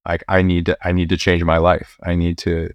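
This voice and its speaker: A young man, a priest, telling his story in a relaxed interview, with fillers and restarts. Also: low-pitched, brisk, no room sound, dull audio. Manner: relaxed, many fillers and restarts, occasional laughs. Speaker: priest and university chaplain.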